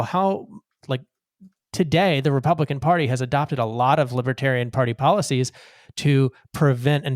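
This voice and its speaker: A man co-hosting a political podcast, talking in a measured conversational way. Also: mid-range voice, dry recording, studio quality. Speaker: podcast co-host. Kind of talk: political talk show.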